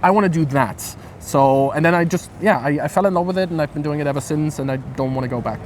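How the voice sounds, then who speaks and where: Male comedian guest, slightly high pitch, chatty and joking, recorded outdoors with urban noise. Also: steady tone, very fast. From East Berlin, Germany. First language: German. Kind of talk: casual interview chat. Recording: clear outdoor city traffic noise.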